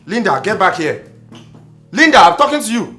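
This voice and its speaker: A man's voice: sits high, big pitch swings, moderate pace, pushed and bright, faint music behind, heavily acted. An actor playing a jealous, possessive husband in a screen drama, confrontational and accusing in his delivery. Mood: angry, commanding.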